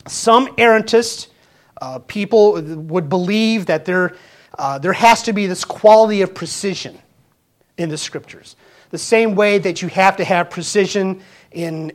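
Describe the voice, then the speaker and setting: A fifty-year-old pastor preaches in a plain, conversational way. He keeps asking his listeners rhetorical questions and often restarts his sentences as he talks his point through. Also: high voice. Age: 50s. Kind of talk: sermon.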